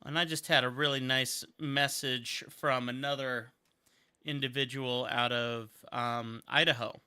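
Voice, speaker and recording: A man's voice: mid-range voice, fairly even intonation, measured pace, bright, forceful tone, clean tone. A man in his thirties from Montana, chatting casually and upbeat while he draws on a livestream, rambling and thinking aloud. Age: 30s. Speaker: caricature artist and videographer.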